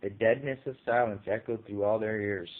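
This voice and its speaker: A man's voice: lowish voice, fairly even intonation, moderate pace, narrow, tinny audio. A man reading a short story aloud as dramatic scripted narration.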